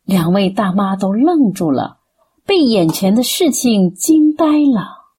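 A woman's voice: medium pitch, very animated, slow pace, dark tone, breathy edge, exaggerated voice. A woman acting as the storyteller of a radio play, giving dramatic scripted narration.

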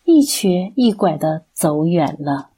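A woman's voice: lowish voice, sing-song, slow pace, dark tone, breathy edge. A woman narrating a scripted radio drama.

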